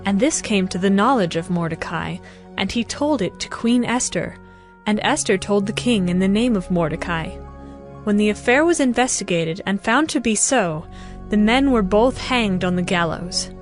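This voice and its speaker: A woman narrating scripture from a script as a measured, formal storyteller in a dramatized audiobook. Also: medium pitch, animated. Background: music.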